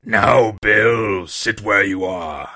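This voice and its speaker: A man voicing a cruel, cold old blind beggar with a raspy voice and an odd, menacing sing-song manner in a storybook reading. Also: on the low side, drawn-out words, voice pushed down, full character voice. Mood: cold, commanding. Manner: soft-spoken odd sing-song turning to harsh commands.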